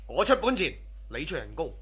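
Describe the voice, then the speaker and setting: Swaggering crook, animated and bright-voiced. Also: male voice, on the high side, fairly quick, breathy edge, exaggerated voice. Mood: scheming, persuasive. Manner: boastful, persuasive, worldly. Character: swaggering, scheming drug-running cousin, a carefree womanizing man. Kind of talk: Cantonese radio drama acting.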